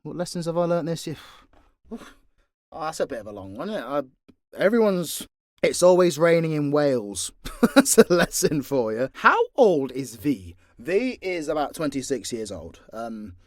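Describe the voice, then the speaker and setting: A man's voice: medium pitch, no echo, studio quality. A man with a British accent answering questions in a casual, unscripted way, blunt, sweary and informal. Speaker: audio creator and voice actor.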